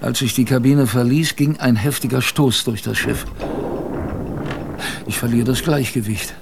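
Young expedition crewman narrating, slightly creaky voice. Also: medium pitch. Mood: tense recounting. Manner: measured, literary storytelling. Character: young male ship's crewman recounting a polar expedition. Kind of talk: first-person radio drama narration. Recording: clear background noise, ambience.

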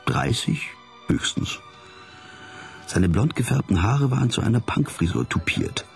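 An actor playing a bored, sardonic detective who narrates a radio crime play in a deadpan, wry way. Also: male voice, medium pitch, unhurried, gravelly, faint music behind.

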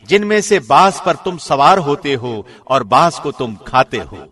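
A man reading a scripture translation as formal narration, solemn and measured, with careful enunciation. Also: a little high, sing-song, fairly bright tone, breathy edge. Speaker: translation narrator, radio host.

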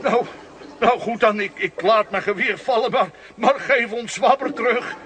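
Villainous sea captain, very high-pitched, greedy, blustering, children's audio adventure. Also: male voice, moderate pace, fairly bright tone. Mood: flustered. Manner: blustery, stammering when thwarted. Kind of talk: children's radio play.

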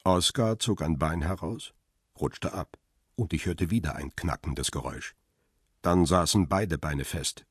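Grim male narrator, very low pitch, husky, measured, terse, crime radio play, dry studio sound. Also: no echo. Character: middle-aged male photographer Peter Lime, grim first-person narrator.

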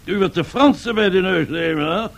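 An actor playing a pompous, boastful Italian fascist dictator in a radio drama, husky and given to grandiose speechmaking. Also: male voice, high voice, normal speed. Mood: self-satisfied. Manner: grandiose, self-satisfied, rhetorical speechmaking. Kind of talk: 1970s radio drama acting.

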